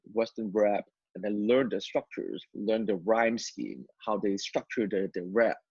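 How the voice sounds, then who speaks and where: A man from Hong Kong whose first language is Cantonese, speaking as an interview guest and telling his story in halting sentences with many repeated words and fillers. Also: lowish voice, gravelly, darkish tone, breathy edge, no room sound, muffled recording. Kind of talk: testimony interview.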